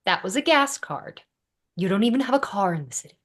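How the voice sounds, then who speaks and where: A woman performs a tough-love young woman from a sitcom. Her delivery is lively and varied in pitch, with a blunt, exasperated edge. She leans on her words with sardonic emphasis. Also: fairly quick. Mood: firm, encouraging. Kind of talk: sitcom script table read.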